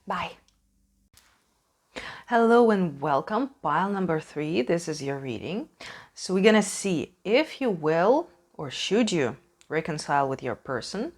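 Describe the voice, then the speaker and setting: A woman from Ukraine, a native Russian speaker with a Russian accent, casually hosting a tarot reading in a halting, emphatic way, searching for words. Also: fairly low pitch, sing-song. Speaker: tarot reader hosting a channel.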